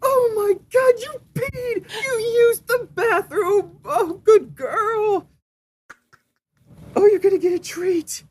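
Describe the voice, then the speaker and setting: A man's voice: extremely high pitch, takes it slowly, pitched above the performer's own voice. Man doing a squealing, overjoyed dog owner. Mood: gushing, overjoyed. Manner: squealing baby talk, exclaiming. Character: gushing, overjoyed dog owner praising a pet.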